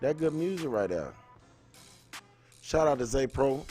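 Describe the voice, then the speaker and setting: A man's voice: mid-range voice, big pitch swings, unhurried, warm tone. A man hosting a casual livestream, rambling along and often repeating his phrases. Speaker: livestream host. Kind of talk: casual livestream chat, greeting viewers. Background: music playing.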